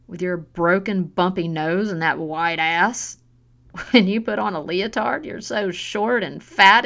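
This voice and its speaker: A woman voicing a drunk, cruel mother who taunts and shouts with harsh scorn, in a storybook reading. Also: fairly low pitch. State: drunk, slurring. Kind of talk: audiobook narration.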